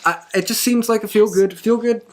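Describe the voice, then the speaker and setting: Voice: mid-range voice, fairly even intonation, fast talker. A host leading a casual wrestling chat from a couch, upbeat and a bit rambling. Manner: upbeat, rambling, leads the talk. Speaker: podcast host. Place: indoors on a couch.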